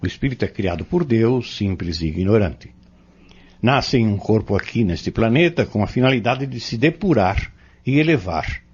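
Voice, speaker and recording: A low, animated Brazilian male mentor acted by a man, earnest and didactic. He lays out his lesson in measured phrases and pointed questions, as in an audio drama, on a dull recording. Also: breathy edge. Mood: earnest, instructive. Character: earnest male Spiritist teacher explaining doctrine to youths.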